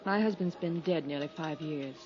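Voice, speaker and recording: A woman's voice: deep voice, normal speed, close to an everyday voice. Woman acting reserved American widow, old radio drama. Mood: guarded, polite. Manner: polite, reserved. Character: lonely, guarded, tipsy widow, woman. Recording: dull old radio recording, music behind.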